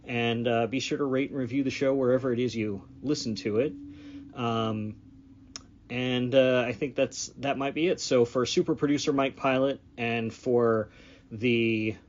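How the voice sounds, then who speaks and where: A man's voice: medium pitch, steady tone, slight background noise. Casual male podcast host, slightly dull sound. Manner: halting, loosely rambling. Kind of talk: casual unscripted podcast outro.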